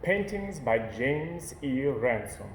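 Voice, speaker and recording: A man reading a children's picture book aloud with gentle, measured storytelling. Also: mid-range voice, very animated. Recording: echoey room with faint hiss.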